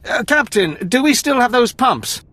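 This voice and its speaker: A man playing an affable, decisive army major in a video game, with an animated, musing manner. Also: very high voice, normal speed, exaggerated voice. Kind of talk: video game cutscene acting.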